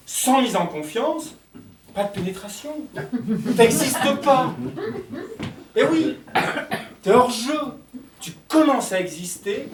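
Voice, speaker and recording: A man's voice: high-pitched, exaggerated voice. A man playing a blunt door-to-door salesman who mockingly lectures a beginner. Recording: roomy, distant film sound with faint noise.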